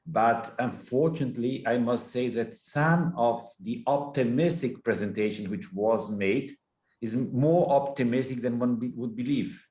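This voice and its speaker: A man's voice: mid-range voice, unhurried, a little mellow. A man from Brazil giving a conference talk as a panelist, with a courteous, apologetic manner and restarted words. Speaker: panel speaker, professor. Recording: echoey, roomy sound.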